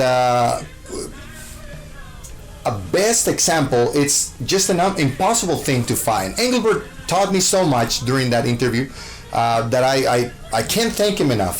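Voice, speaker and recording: A man's voice: medium pitch, animated, normal speed, faint music behind, poor audio. A man from Costa Rica, a show host, answering interview questions with gushing enthusiasm, full of fillers and restarts.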